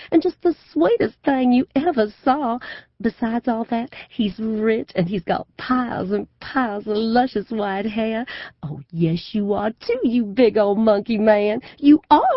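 A woman's voice: on the high side, dry recording, dull audio, voice pushed up. A woman voicing a flamboyant older Southern fortune-teller mother who drawls, wheedles and plays to the crowd. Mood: gushing.

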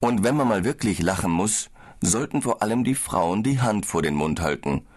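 Deep guy, calm explainer, scripted audiobook chat, a bit tired. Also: moderate pace. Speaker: business traveller in a language-course dialogue.

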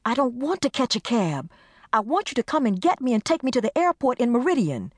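Frantic young woman on the phone, played by a female narrator, pitched high and pushed loud, pleading and demanding in a storybook reading. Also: sing-song, fairly quick, no echo, studio quality. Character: panicked young woman, frantic best friend.

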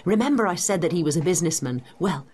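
An actress playing a knowledgeable, brisk woman guiding a listener through history in a dramatised educational audio guide, with an explanatory, teacherly manner. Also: on the low side, lively intonation, darkish tone, breathy edge.

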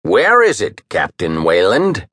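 A man's voice: fairly low pitch, wildly swooping pitch, slow, fairly bright tone, no echo, clean studio sound, heavily acted. A man voicing a confident, swaggering pirate captain who speaks in a commanding, menacing way.

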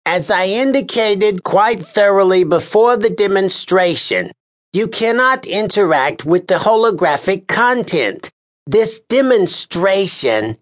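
A man's voice: high voice, lively intonation, a little slow, a bit bright, voice pushed up. A male narrator voicing a vain, officious, short-tempered man who speaks in an exasperated, condescending way. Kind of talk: audiobook character voice.

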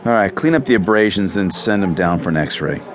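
An actor playing a brisk, detached, unruffled emergency room doctor in a radio drama. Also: male voice, sits low, animated, faint music behind. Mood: detached, businesslike.

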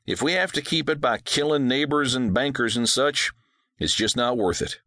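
A man voicing a gentle rancher dad. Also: mid-range voice, normal speed, dry recording, clean studio sound, voice pushed up. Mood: patient, gentle. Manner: soft, patient, reassuring. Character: gentle, devout widowed rancher father.

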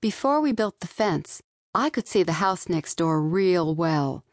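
A woman narrates a young-adult audiobook in the first person. Her voice sits fairly low. The recording is dry, with very little room sound. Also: animated, measured pace, no echo. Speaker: author narrating own audiobook. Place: dry studio.

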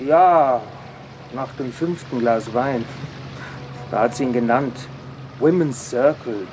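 A man's voice: mid-range voice, slow, darkish tone, slight background noise, natural voice, rough recording. A man acting in a German audio drama as a chatty witness, slangy and dismissive in manner. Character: chatty, offhand male witness giving police a statement.

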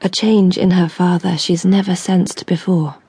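A woman's voice: on the low side, level tone, unhurried, dark tone, slightly rough, heavily acted. A woman acting as the intimate, knowing narrator of a radio drama. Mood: measured, knowing.

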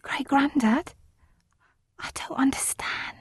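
A woman's voice: high-pitched, slow, in a whisper. A woman playing an earnest, questioning young schoolgirl in an audio drama. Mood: puzzled. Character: earnest, puzzled young schoolgirl, great-granddaughter.

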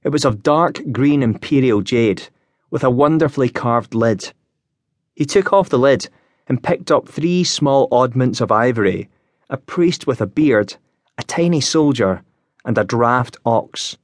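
A male audiobook narrator reads scripted literary prose. His delivery is measured and descriptive, at a medium pitch. The recording is close and dry, as in a studio. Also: expressive, no room sound.

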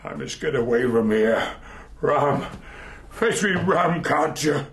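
An actor playing a drunken, foul-tempered old sea captain in a radio drama, growling out orders and curses in a gravelly voice. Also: male voice, fairly high pitch, expressive, slow, a bit bright, roomy sound, exaggerated voice. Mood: desperate. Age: older.

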